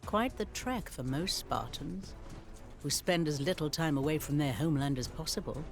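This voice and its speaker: A man's voice: fairly high pitch, expressive, moderate pace, faint music behind. A man narrating a historical strategy game as a dramatic storyteller of ancient campaigns. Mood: measured storytelling. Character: male storyteller recounting ancient Spartan campaigns.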